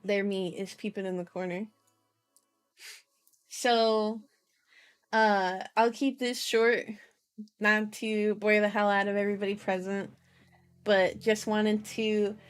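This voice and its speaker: A young woman streamer chatting casually in a playful, silly, giggly manner with cutesy slang, like she is hosting a livestream introduction. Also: medium pitch. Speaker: VTuber streamer, channel host.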